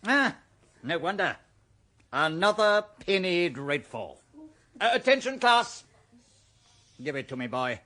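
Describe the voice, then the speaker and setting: An actor playing a pedantic, sarcastic English schoolmaster with a pompous, precise and withering manner in a radio drama. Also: male voice, high-pitched, very animated, slow, pauses often, pushed and bright, heavily acted. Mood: withering sarcasm. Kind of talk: radio drama classroom dialogue.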